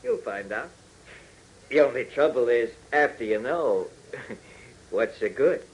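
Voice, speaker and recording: An actor playing a mocking, menacing tough guard who talks in slangy taunts, in 1940s radio style. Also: male voice, mid-range voice, animated, slow, husky, rough recording. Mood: smug, sneering. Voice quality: slangy tough-guy speech. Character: sneering young-to-middle-aged male thug guard, taunting wiseguy. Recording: old 1940s radio recording.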